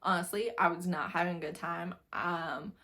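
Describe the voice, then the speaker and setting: A woman's voice: on the low side, fairly even intonation, moderate pace, pushed and bright. Chatty, emphatic woman reviewing books. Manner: informal, emphatic, repeats phrases for emphasis. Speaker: book reviewer vlogger. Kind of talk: casual chatty book review to camera.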